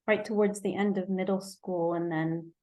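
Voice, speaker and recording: A woman's voice: lowish voice, fairly even intonation, moderate pace, mellow. A woman from Egypt, a physician guest in an interview, talking in a searching way, often correcting herself and laughing lightly. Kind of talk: conversational interview.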